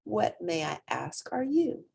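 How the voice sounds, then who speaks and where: Woman playing an amused elephant with swooping pitch. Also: very animated, slow, vocal fry, a little mellow, husky, full character voice. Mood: polite, puzzled. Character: enormous elephant, amused and gently cautioning.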